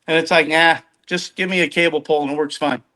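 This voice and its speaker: A man's voice: on the high side, fairly even intonation, fairly quick, pushed and bright. A man in his fifties chatting casually, rambling and often restarting his sentences as he tells stories. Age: 50s. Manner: rambling, self-interrupting storyteller. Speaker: podcast guest. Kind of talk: casual chat.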